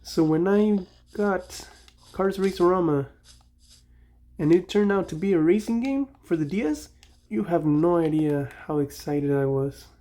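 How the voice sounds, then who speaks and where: Young man with a Mexican accent, mellow. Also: high-pitched, expressive, unhurried. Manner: rambling, nostalgic, self-correcting. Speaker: gaming channel host. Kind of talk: casual commentary while playing.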